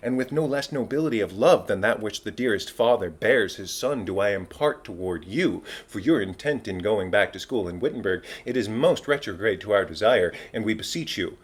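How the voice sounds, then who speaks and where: A man's voice: lowish voice, breathy edge. Man acting a stately, commanding Shakespearean king. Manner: declamatory, stately. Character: commanding middle-aged king, formal, smoothly authoritative. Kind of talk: dramatic Shakespeare reading.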